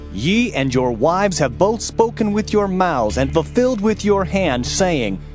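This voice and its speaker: An actor as a stern prophet, animated and high-pitched. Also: male voice. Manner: solemn, declamatory, archaic phrasing. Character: stern male prophet pronouncing God's judgment. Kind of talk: dramatized audio Bible reading.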